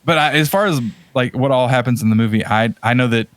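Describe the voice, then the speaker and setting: A man's voice: mid-range voice, fast talker, no echo. A man co-hosting a podcast in casual chat, rambling and often hesitating and correcting himself as he goes. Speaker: podcast co-host.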